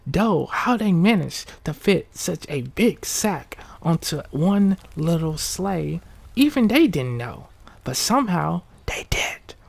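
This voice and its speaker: A man hosting an ASMR bedtime story, speaking softly and often whispering, with a relaxed, informal manner. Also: on the high side, slow pace, gravelly, dry recording. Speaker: ASMR video host and storyteller. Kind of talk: ASMR bedtime story reading, casual asides.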